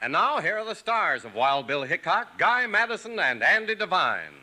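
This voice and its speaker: A man's voice: high-pitched, big pitch swings, normal speed, music in the background. A man announcing a 1950s radio show in an upbeat, punchy delivery.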